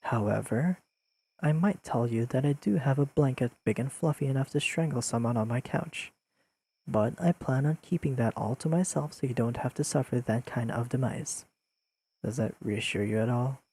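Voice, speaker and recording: A man playing a deadpan, pedantic but secretly fond young friend in an intimate audio roleplay, speaking in precise, dryly teasing sentences to the listener. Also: medium pitch, normal speed, breathy edge. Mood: dry, soft teasing. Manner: deadpan, precise, formal wording with dry teasing.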